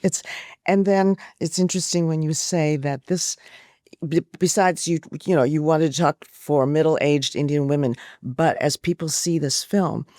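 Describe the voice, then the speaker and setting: A woman's voice: low voice, moderate pace, dark tone, no echo, clean studio sound. A woman journalist conducting a conversational interview, posing questions and reflecting on the answers.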